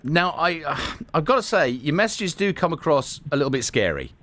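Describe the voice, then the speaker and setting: A man's voice: a little high, sing-song, fairly quick, a bit bright. A man hosting a morning radio show, joking around, often laughing, and reading messages aloud in a radio studio. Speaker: radio host. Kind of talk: casual morning radio banter.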